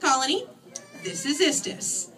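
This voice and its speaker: A woman's voice: sits high, animated, a little slow, a crowd in the background, pitched above the performer's own voice. A woman voicing a wry, exasperated young heroine of an urban fantasy novel, with emphatic delivery. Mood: patient, firm. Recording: distant microphone in a room.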